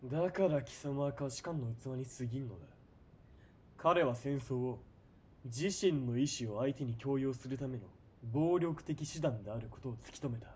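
A man playing a ruthless, greedy military police commander in a period radio drama, domineering and sneering, moving between cold murmurs and shouting. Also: medium pitch, normal speed, a little hiss or hum, lightly acted. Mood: condescending.

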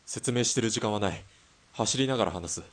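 Man acting a low-voiced, terse, calm hitman. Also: fairly quick, close to an everyday voice. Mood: resolute. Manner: quiet, terse, composed. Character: calm, terse, loyal-hearted hired killer of 1920s Japan. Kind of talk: radio drama acting.

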